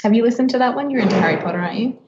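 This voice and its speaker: A woman's voice: on the high side, animated, very fast, very noisy. A woman co-hosting a casual podcast, chatting in a playful, teasing way. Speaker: podcast host. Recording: dull, roomy, echoey sound.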